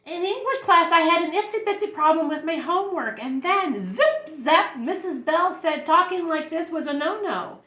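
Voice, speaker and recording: An earnest little schoolgirl from a storybook, put on by a woman reader. She sounds bubbly and sing-song, with a high voice and strong emphasis. There is an audible room echo. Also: lively intonation, moderate pace, few pauses, pushed and bright, full character voice. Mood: innocent, earnest.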